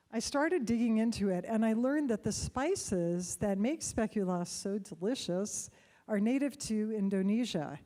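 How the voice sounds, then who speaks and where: Mellow-voiced woman telling a story. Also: mid-range voice. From United States. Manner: warm, conversational, unhurried with soft laughs. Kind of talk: personal storytelling to a live audience. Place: stage before a live audience.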